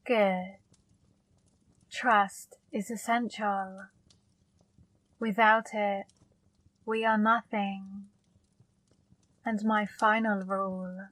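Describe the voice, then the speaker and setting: Casting: woman voicing a seductive female demon for ASMR, slow and level delivery, dry close sound. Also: medium pitch, dry recording. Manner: slow, soft, commanding. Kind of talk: ASMR roleplay.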